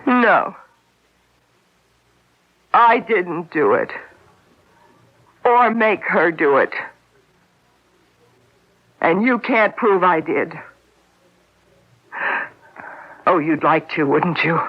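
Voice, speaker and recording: Anguished, slow-speaking accused wife. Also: female voice, lowish voice, expressive, exaggerated voice. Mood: bitter defiance. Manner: halting, anguished, emotional. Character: distraught jealous wife, accused of murder, defensive and pleading. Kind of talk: 1970s radio drama acting. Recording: dull lo-fi radio recording.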